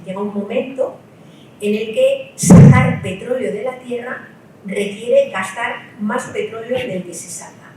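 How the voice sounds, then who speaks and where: A woman's voice: medium pitch, warm tone, a little hiss or hum. A woman from Spain giving a public lecture at a political meeting. She explains her points step by step and often checks in with the audience through short rhetorical tags. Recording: echoey hall, mic at a distance.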